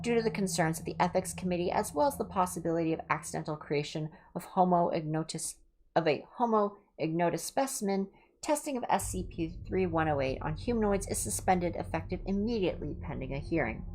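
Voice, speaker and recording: A woman's voice: fairly low pitch, expressive, fairly quick, darkish tone. A woman hosting a livestream, reading clinical horror reports aloud with informal asides and the odd stumble over hard words. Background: music.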